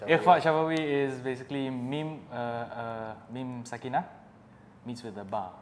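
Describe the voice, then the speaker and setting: A man's voice: medium pitch, normal speed. A man in his twenties with a Malaysian accent, casually explaining recitation rules as a quiz contestant. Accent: Malaysian English. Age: 20s. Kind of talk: casual game chat. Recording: echoey room with background noise.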